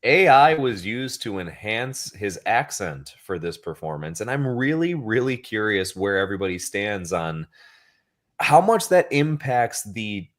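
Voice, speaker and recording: A man's voice: lowish voice, big pitch swings, unhurried. A man co-hosting a livestream panel, chatting casually and rambling with fillers over a video call. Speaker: co-host of an awards livestream. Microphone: webcam on a video call.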